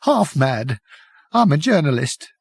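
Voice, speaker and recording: A man voicing a half-mad, tearful male journalist who whimpers and rambles in a hoarse, whispery voice. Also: fairly high pitch, sing-song, unhurried, no room sound, studio quality, heavily acted. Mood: savage. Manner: whimpering, jittery, breaks into weeping. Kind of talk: audiobook character voice.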